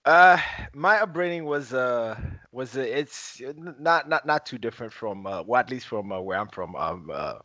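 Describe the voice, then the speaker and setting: A young man from Haiti, a podcast guest telling his story in relaxed, casual conversation with many fillers and laughs. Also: mid-range voice, normal speed, hardly any pauses, no echo.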